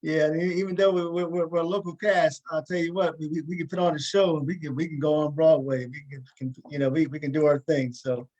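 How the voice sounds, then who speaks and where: Male talk show host, slightly high voice, conversational, halting delivery with repeated words. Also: fairly even intonation, darkish tone, lo-fi sound. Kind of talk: casual conversational interviewing.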